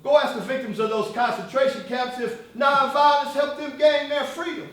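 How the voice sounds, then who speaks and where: An actor playing a defiant, militant freedom leader on stage, speaking in emphatic, accusatory oratory with deliberate pauses. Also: male voice, extremely high pitch, fairly even intonation, a bit bright. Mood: indignant, scornful. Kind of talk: live stage play acting. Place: stage in a reverberant room, recorded from afar. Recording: echoey hall, distant microphone.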